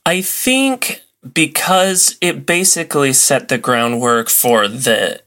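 Bright-voiced man, enthusiastic podcast guest. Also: mid-range voice, slow. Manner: enthusiastic, colloquial, explains informally. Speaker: podcast guest, old-time radio enthusiast. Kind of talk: casual explanatory chat. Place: remote video call.

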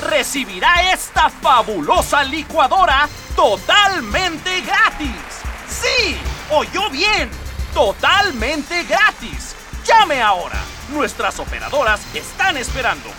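A performer playing a pushy, over-enthusiastic infomercial announcer in a radio drama parody. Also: high voice. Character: pushy, excitable infomercial announcer of a sinister regime. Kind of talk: parody hard-sell advertisement.

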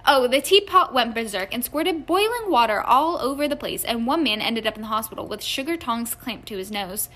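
Lady reading as a teen wizard boy in a storybook, chatty, mischievous, bright highish voice. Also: lively intonation, brisk, hardly any pauses. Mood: chatty, amused. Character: teenage wizard boy, chatty, mischievous schemer among brothers.

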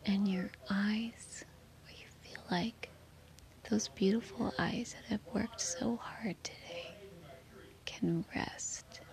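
A woman guiding a children's sleep meditation in a soothing, gently reassuring manner. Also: medium pitch, vocal fry. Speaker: meditation guide.

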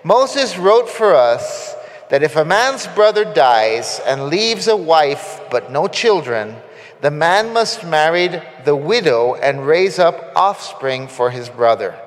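A man's voice: a little high, sing-song, slow pace. Man preaching in an echoing church. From Costa Rica. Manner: conversational, with frequent fillers and restarts. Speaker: guest preacher. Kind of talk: sermon. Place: church sanctuary.

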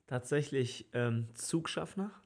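A man in his thirties, a party organizer, chatting casually on a podcast with lots of fillers and easy laughter. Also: medium pitch. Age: 30s. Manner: easygoing, laughs often, many fillers.

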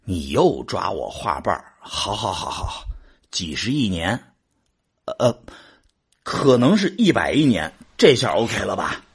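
A man acting a boastful, easily exasperated drinking buddy in a sci-fi radio play. His voice is very husky and rough, and it swings widely up and down as he grumbles and defends himself. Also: on the low side, sing-song, measured pace, heavily acted. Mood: exasperated, defensive. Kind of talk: Chinese sci-fi audio drama dialogue.

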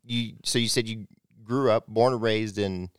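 Young male host, 20s, lowish smooth voice, dry close mic, loose and chatty. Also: fairly even intonation, moderate pace, no echo. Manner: rambling, restarts, friendly. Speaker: podcast host. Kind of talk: casual podcast hosting.

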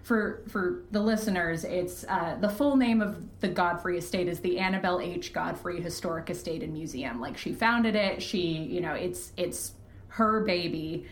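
In a roomy, echoey recording with some background noise, a woman talks casually as an interview guest. Her pitch is slightly low for a woman. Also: moderate pace. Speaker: podcast guest, fiction podcast creator.

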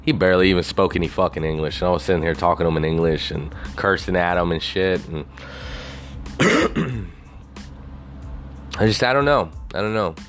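A man's voice: deep voice, normal speed, pauses often, a bit bright, faint music behind. A man on a casual, rambling rant, swearing constantly and leaning on "you know" fillers.

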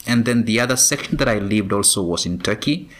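A man from Kenya, a former professional runner, answering interview questions in a measured way with frequent pauses. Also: fairly low pitch, expressive, fairly bright tone. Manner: measured, many pauses and ums.

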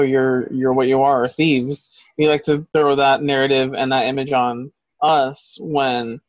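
A man's voice: mid-range voice, dry recording, lo-fi sound. A man co-hosting a podcast in casual chat, talking in a heated, profane, rambling way. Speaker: podcast co-host.

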